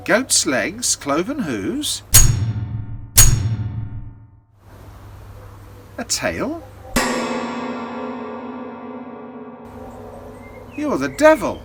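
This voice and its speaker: Man as an old, high-pitched storybook blacksmith. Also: exaggerated voice. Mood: suspicious. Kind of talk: storybook character voice in oral storytelling.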